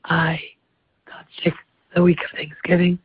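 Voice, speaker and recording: A woman caller with laryngitis, her voice raspy and almost gone, complaining wearily in a voicemail. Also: lowish voice, flat delivery, measured pace, no echo, phone-quality sound. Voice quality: raspy whispery. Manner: weary, complaining. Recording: phone voicemail.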